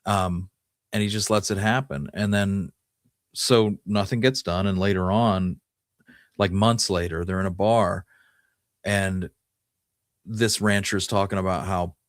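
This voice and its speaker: A man's voice: sits low, steady tone, unhurried, pauses often, no room sound, clean studio sound. A man co-hosting a podcast, retelling history in a casual, conversational storytelling style. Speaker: podcast co-host.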